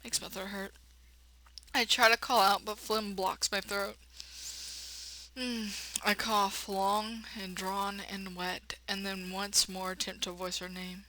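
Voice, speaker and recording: A woman's voice: medium pitch, slow pace, low-quality recording. A woman reading a visual novel aloud, expressively, and breaking in with blunt, sassy commentary. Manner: expressive narration broken by blunt sassy asides. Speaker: let's player.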